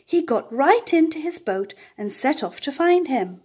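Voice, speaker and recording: An older woman reading a children's story aloud as an expressive storyteller. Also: high voice, measured pace.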